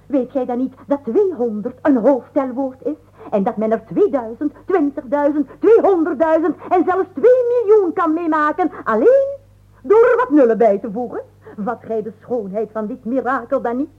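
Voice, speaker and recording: Nagging wife in a radio comedy, shrill, super animated, slightly dull old recording. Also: female voice, moderate pace. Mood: scolding, haughty. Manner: shrill, haughty, lecturing. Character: scolding, long-winded chatterbox wife. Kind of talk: 1980s Flemish radio comedy acting.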